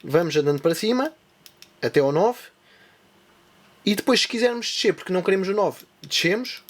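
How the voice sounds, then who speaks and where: Tech tutorial host, a man with a European Portuguese accent, fairly high voice, step-by-step demo. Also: animated, fairly quick. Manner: step-by-step explaining while demonstrating. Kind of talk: hands-on device tutorial.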